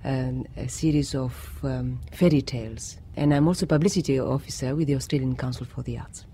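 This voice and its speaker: A mellow, dark-toned man in middle age speaks, Romanian being his tongue. He talks reflectively as an interviewee, pausing to search for the right word. His pitch is medium, and a light layer of noise sits behind the voice. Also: fairly even intonation. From Romania, of Greek parents. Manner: hesitant, searching for words. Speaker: poet and writer interviewee.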